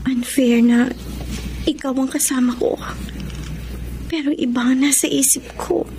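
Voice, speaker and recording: High-voiced, sorrowful young woman in love. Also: fairly even intonation, unhurried, dark tone, slight background noise. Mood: troubled, earnest. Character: young woman Ann, torn in love, sorrowful yet resolute. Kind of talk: Tagalog radio drama acting. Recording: dramatic music behind the voice.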